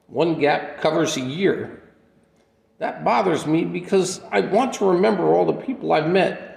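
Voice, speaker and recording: A man playing an aging, modest, wistful drifter in a radio play, speaking in a halting, plainspoken, reflective way. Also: high-pitched, gravelly, a little mellow. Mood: wistful, puzzled. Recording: echoey hall-like reverb.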